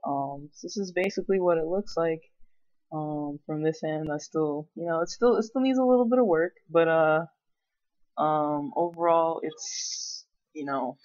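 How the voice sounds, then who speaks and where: A man's voice: fairly high pitch, no room sound, lo-fi sound. A man giving a casual, unscripted show-and-tell as a hobbyist vlogger, rambling and hesitant in a self-effacing way.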